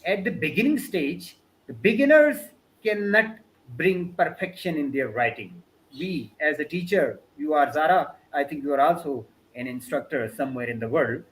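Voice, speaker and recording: A man's voice: fairly high pitch, expressive, moderate pace, slight background noise, low-quality recording. A man from Pakistan, a Balti speaker with a Pakistani accent, giving an earnest webinar talk over a video call, often using fillers like "you know" and "means". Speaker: guest lecturer. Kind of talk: webinar talk answering questions.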